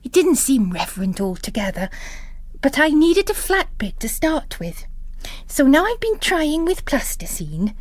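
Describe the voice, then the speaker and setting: A woman plays a young nun in an audio storybook, chatty, blunt and practical. Her voice sits high and swings freely as she talks, in a close, dry recording. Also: sing-song, a little slow, no room sound. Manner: chatty, blunt, matter-of-fact.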